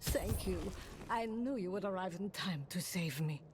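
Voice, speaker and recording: An actress playing a wry, self-assured older seer in a video game, with an unruffled, theatrical manner. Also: female voice, fairly low pitch, animated, moderate pace, heavy background noise, close to an everyday voice. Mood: earnest. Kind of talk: video game dramatic acting. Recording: loud game ambience behind the voice.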